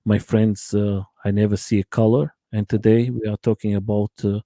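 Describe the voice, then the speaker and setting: A man's voice: fairly low pitch, level tone, dark tone, no echo, clean studio sound. Man hosting a live chat. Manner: halting non-native English, many uh fillers. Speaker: channel host interviewer. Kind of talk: live-stream interview chat.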